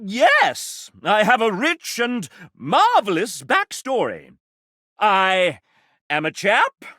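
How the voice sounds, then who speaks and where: An actor voicing a sassy, vain gentleman mascot in a video game, theatrical and pompous, with flamboyant old-fashioned phrasing and a husky edge. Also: high-pitched, wildly swooping pitch, slow, no echo, clean studio sound, exaggerated voice. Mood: proud, flustered. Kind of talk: video game character dialogue.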